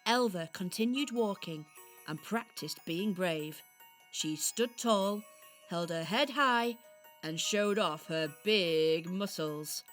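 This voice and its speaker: A woman narrating a scripted children's radio story in a deliberate storytelling manner. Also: on the low side, fairly bright tone, soft background music.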